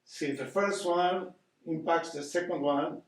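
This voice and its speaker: A man's voice: a little high, unhurried. An older man from Argentina with an Argentine Spanish accent gives an earnest public lecture, often hesitating and repeating words as he searches for them. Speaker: guest speaker. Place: community hall. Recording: echoey hall, distant mic.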